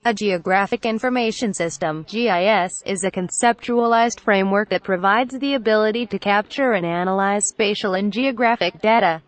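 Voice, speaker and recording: A woman's text-to-speech voice reading technical text aloud in a measured, impersonal manner. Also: mid-range voice, dry recording.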